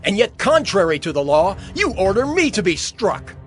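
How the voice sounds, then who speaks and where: Guy playing a defiant bible apostle on trial, high urgent voice that swings around, faint music under. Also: very animated, moderate pace. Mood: impassioned, defiant. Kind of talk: dramatized Bible reading.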